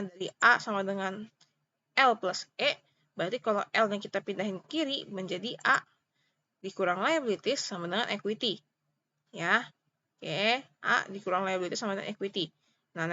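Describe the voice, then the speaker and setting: A woman's voice: mid-range voice, sharp tone, muffled recording. A woman with an Indonesian accent giving an informal step-by-step tutorial as a lecturer, repeating points and checking understanding with short questions. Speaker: accounting lecturer.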